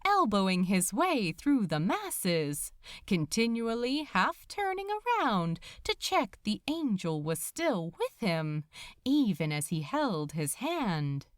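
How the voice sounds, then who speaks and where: Animated, unhurried woman narrator. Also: mid-range voice, dry recording, studio quality. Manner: dramatic storytelling. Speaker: podfic narrator. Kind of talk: expressive scripted fiction narration.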